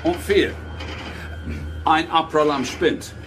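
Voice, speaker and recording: An actor playing a brisk, businesslike policeman in a radio play, speaking in clipped phrases. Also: fairly high pitch, a bit bright, faint music behind. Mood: explaining. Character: brisk male police colleague reporting findings.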